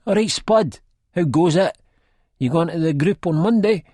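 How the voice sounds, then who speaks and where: A man's voice: a little high, moderate pace, mellow, dry recording, studio quality, natural voice. An actor playing a friendly, sympathetic Edinburgh man chatting to a pal, with a Scottish accent, in an audiobook. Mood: breezy.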